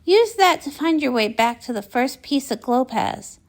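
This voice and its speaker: A woman performing an animated comic read-along, acting out the dialogue in character. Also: sits high, normal speed.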